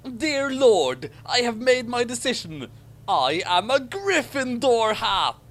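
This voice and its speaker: A man's voice: very high-pitched, big pitch swings, takes it slowly, a bit bright, pitched above the performer's own voice, heavily acted. A man voicing a self-righteous, earnest schoolboy wizard in a declamatory, indignant storybook reading. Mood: bellowing, solemn. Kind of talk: mocking dramatic reading of fan fiction.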